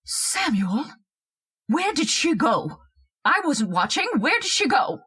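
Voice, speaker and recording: Shrill, domineering radio-drama wife. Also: female voice, sing-song, takes it slowly, background noise, exaggerated voice. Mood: frantic. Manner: bossy, scolding, histrionic. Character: shrill domineering wife, frightened by a ghostly intruder. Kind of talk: old-time radio horror acting.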